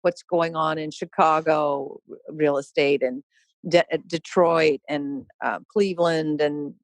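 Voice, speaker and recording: A woman's voice: sits low, steady tone, a little slow, no echo. Woman, podcast guest, company founder, emphatic, laughs often, dry close sound. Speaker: real estate investment company founder, guest. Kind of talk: animated conversational storytelling. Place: podcast interview.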